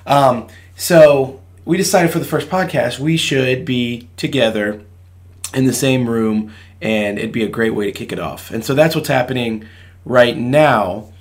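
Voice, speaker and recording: A young man from Virginia co-hosting a podcast in casual chat, his voice low and rough after a late night, rambling and laughing often. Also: unhurried. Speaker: podcast co-host.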